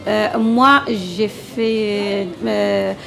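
A woman's voice: mid-range voice, fairly even intonation, extremely slow, creaky, over music. A middle-aged woman from Morocco, an Arabic speaker, answering interview questions hesitantly with many fillers. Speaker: language teacher guest.

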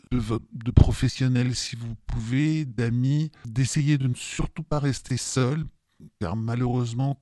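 A man in his fifties gives a spontaneous personal testimony. His voice is soft-edged and mellow, recorded very dry. He hesitates and often repeats words while finding his phrasing. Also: medium pitch, no echo. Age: 50s. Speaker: testimony guest with lived experience of depression.

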